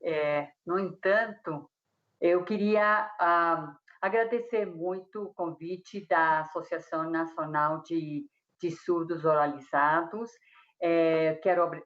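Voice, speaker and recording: A Mexican woman, a speech therapist, speaking Portuguese with a Mexican Spanish accent, halting with many fillers and restarts on a video call. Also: on the low side, muffled recording. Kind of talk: hesitant conference talk. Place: room at home.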